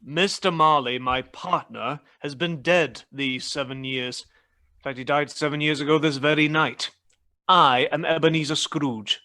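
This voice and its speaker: A man's voice: a little high, steady tone, a little slow, sharp tone. A man playing a cold, irritable old miserly businessman in a radio play, with a curt, grumbling, scornful delivery. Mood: stern.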